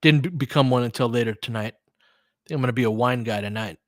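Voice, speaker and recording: A man's voice: mid-range voice, fast, no room sound, studio quality. A man giving informal live-stream play-by-play and commentary, thinking aloud as he chats with listeners.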